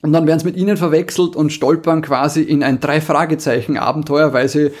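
A man's voice: on the high side, fairly even intonation, quick pace. A man hosting a podcast, chatting casually with amused enthusiasm. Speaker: podcast host. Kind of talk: casual chat, recommending audio plays.

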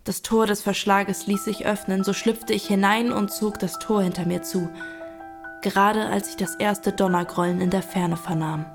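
An actress plays a young woman alone and hiding from a storm, telling her story in a hushed, breathless voice. A clear music score plays under her words. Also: medium pitch, fairly even intonation, normal speed, lightly acted. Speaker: first-person narrator. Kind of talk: scripted radio drama narration.

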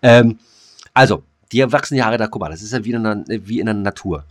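A man's voice: lowish voice, brisk, dry recording, studio quality. A man co-hosting a joking podcast chat, rambling with many fillers and restarts. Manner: rambling, full of ums and restarts. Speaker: podcast co-host.

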